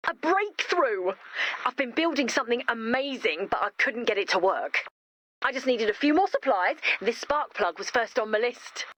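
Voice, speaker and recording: A woman voicing an eager young female inventor in an animated video game, enthusiastic and emphatic, cheerfully matter-of-fact about macabre things. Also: fairly high pitch, moderate pace, no echo. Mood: proud, excited.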